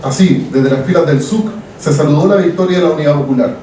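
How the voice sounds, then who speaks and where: A young Chilean man with a Chilean Spanish accent, a historian giving a formal talk from notes, measured and with frequent "eh" fillers. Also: fairly high pitch, mellow, slight background noise. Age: young adult. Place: event hall. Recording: echoey hall, mic far off.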